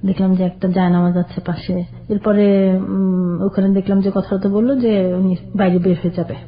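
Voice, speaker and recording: An older woman tells her own story on a radio program. She speaks plainly and sometimes pauses to collect her thoughts. The recording sounds dull and lo-fi. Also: mid-range voice, little pitch movement. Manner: plain, halting recollection. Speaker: caller guest. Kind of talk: personal storytelling.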